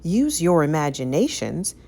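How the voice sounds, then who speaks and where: A woman reading a rhyming picture book aloud for children in an expressive, sing-song storytelling way. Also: deep voice, unhurried, vocal fry. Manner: animated, sing-song, careful storytelling delivery.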